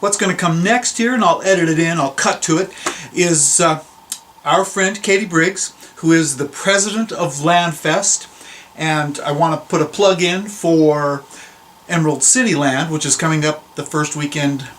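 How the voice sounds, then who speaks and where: A man's voice: on the high side, moderate pace, fairly bright tone, a little hiss or hum. A man hosting a video series, chatting casually and informally as he speaks straight to his viewers. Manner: informal, addressing viewers directly. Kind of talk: casual chat introduction to a reading.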